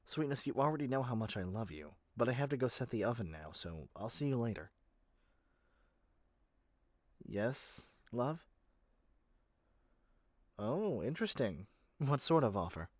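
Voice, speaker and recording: A man's voice: mid-range voice, sing-song, moderate pace, a little mellow, dry recording. A man playing a doting yet controlling yandere kidnapper in an ASMR roleplay, speaking intimately to the listener with sweet pet names. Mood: excited, possessive.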